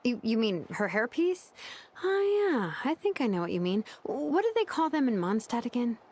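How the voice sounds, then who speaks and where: A woman's voice: a little high, sing-song, moderate pace, darkish tone, husky, full character voice. A woman playing a curious, analytical young tinkerer in a video game, explaining technical things in a friendly way. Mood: musing, curious. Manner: technical, explanatory, hesitant when simplifying.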